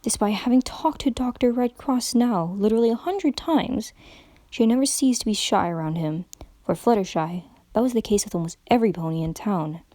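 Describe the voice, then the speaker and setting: A woman giving a dramatic reading of a story as narrator, with expressive storytelling. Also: medium pitch, fairly quick, dry recording. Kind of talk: dramatic reading of fan fiction.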